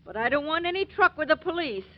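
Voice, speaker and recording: A woman's voice: high voice, sing-song, quick pace. An American woman playing a stubborn, wary working-class scrubwoman, blunt and defensive, in a 1940s radio drama. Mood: alarmed, wary. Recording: dull 1940s radio recording.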